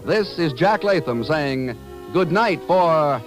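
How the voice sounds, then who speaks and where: Brief: American male announcer, slightly high voice, polished emphatic old-time radio read, music around him. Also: expressive, slow. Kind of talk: 1950s scripted announcing and ad reading. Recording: vintage radio broadcast.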